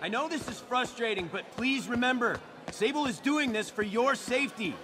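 A man's voice: very high voice, lively intonation, normal speed, music in the background. A man voicing a security officer in a video game, placating and formal as he keeps order.

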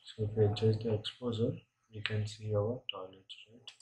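A man giving a step-by-step software tutorial, often tagging his statements with a questioning "right?". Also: fairly low pitch, level tone, moderate pace. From India. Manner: frequently tags statements with "right?". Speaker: software instructor. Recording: clear background noise with clicking.

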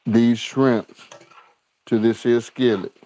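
Slow-talking man hosting a live cooking stream. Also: mid-range voice, level tone, mellow, slight background noise. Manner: drawling, talks to viewers as y'all, repeats lines. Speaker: cooking show host. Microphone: overhead camera on the skillet, speaker unseen.

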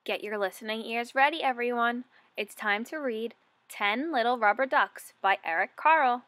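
A woman in her twenties reading a picture book aloud for young children, asking questions and enunciating deliberately. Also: a little high, fairly bright tone. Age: 20s.

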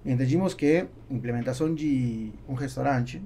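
An Argentine man with a creaky, mellow voice and native Spanish answers as a podcast guest. He searches for words and slips between Spanish and Portuguese, with noticeable noise behind him. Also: medium pitch, normal speed. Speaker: podcast guest, business owner. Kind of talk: conversational interview answers. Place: small acoustically treated podcast studio. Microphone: studio microphone on boom arm.

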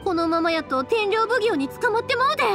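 Expressive high-voiced young Kansai woman. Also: a little fast, over music. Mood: alarmed, worried. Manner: expressive, earnest, colloquial. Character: spirited, caring young woman, fireworks maker. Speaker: voice actor in a game. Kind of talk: anime game acting.

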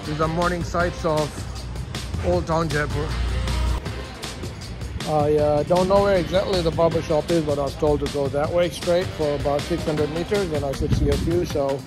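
A man's voice: fairly high pitch, fairly even intonation, normal speed, over loud music. A man in his forties narrating a casual travel vlog in short, upbeat phrases, filming himself outdoors with a phone at arm's length. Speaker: travel vlogger. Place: outdoors on city streets and in a rickshaw. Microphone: phone held at arm's length for selfie video.

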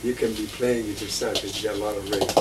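A man's voice: fairly low pitch, fairly even intonation, fast. A man, patiently explaining instruments as he handles them and answering interview questions about his life. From Egypt. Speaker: musician and lecturer. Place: indoor room full of instruments, then an office with bookshelves. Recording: distant, hissy old videotape.

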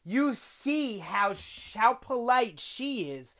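Young New guy hosting a TV review channel, animated and loose, lots of "um" and "you know", webcam sound. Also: very high voice, slow. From New York. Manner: animated, gesturing a lot, chatty with fillers. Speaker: TV review host. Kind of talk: casual video review. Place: small bedroom with collectibles on shelves.

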